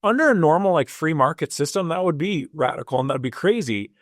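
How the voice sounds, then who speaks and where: A man's voice: fairly high pitch, lively intonation, brisk, dry recording, studio quality. A man of fifty co-hosting a political talk show, conversational and agreeable. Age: 50s. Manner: conversational, agreeable, frequent affirmations. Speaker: co-host.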